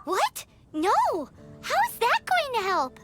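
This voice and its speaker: A woman's voice: very animated, slow pace, fairly bright tone, heavily acted. A woman voicing a small, childlike, cheeky fairy companion in a video game, given to indignant exclamations. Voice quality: squeaky, childlike. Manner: exclaiming, sassy, refers to self in third person. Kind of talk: video game character acting. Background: background music.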